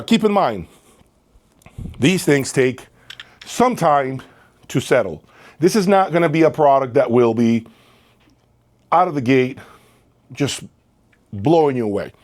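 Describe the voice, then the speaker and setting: Male reviewer, medium pitch, casual and emphatic, leans on key words by repeating them. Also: darkish tone. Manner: emphatic, repeats phrases for stress. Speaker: host of an audio equipment review channel. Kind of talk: casual product review talk to camera.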